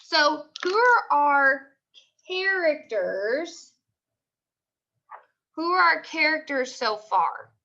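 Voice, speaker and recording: A woman leads an interactive classroom read-aloud lesson. Her voice is high and bright, and she prompts her pupils by restating questions and answers. The recording is dull and lo-fi. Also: expressive, extremely slow, long pauses.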